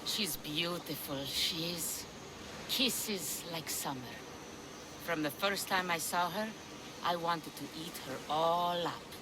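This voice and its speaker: A brash, foul-mouthed pirate captain. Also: female voice, on the low side, slow pace, noisy background. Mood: fond. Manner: blunt, swearing, sardonic. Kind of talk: video game cutscene acting.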